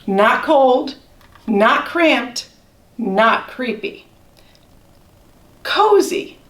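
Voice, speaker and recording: A woman voicing a small, fussy male mouse in a children's storybook, with squeaky, emphatic speech. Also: lively intonation, very slow, fairly bright tone, heavily acted. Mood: pleased. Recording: roomy echo, distant microphone.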